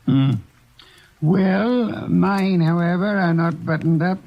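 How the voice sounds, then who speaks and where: An actor playing a portly, unflappable older detective who speaks in a measured, pompous way, in 1940s radio acting. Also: male voice, sits high, steady tone. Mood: smug. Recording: dull old radio broadcast recording.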